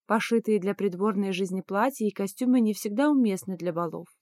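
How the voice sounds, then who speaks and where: A woman's voice: mid-range voice, brisk, a little mellow, no echo, natural voice. Female palace servant read by a woman narrator, formal, deferential, efficient, fantasy audiobook, dry sound. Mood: respectful. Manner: formal, respectful.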